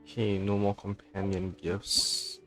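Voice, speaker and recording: Guy streamer w deep voice, chatting casually over faint game music. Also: monotone, takes it slowly, a bit bright. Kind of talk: casual live let's play commentary.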